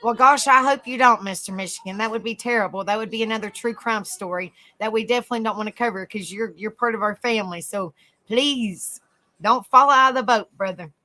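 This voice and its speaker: A woman hosting a casual livestream, chatting softly with viewers by name and reading notes aloud. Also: on the high side, fairly quick. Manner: soft, easygoing, chats with viewers by name. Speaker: livestream host.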